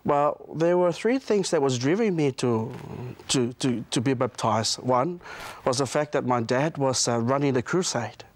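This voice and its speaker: A pastor in his thirties answers questions in a studio. He speaks hesitantly, often stopping to restart, at a medium pitch. The sound is dry and close. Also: expressive, a little slow, no room sound. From Samoa. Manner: hesitant, many restarts and fillers, soft-spoken. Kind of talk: studio interview guest.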